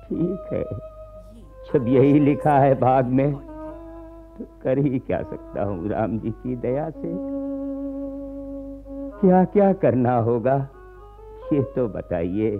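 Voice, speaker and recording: Slow, weary old peasant farmer. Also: male voice, medium pitch, very animated. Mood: bitter resignation. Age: older. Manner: pleading, haltingly bitter. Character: weary old indebted peasant farmer, helpless, bitterly resigned. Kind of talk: radio play acting. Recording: muffled radio archive recording with music.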